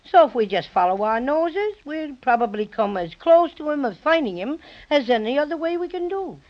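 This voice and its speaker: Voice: high voice, expressive, normal speed. An actor voicing a small, boastful, friendly magical toy bear in a 1930s children's radio serial. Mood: confident. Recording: muffled 1930s radio recording.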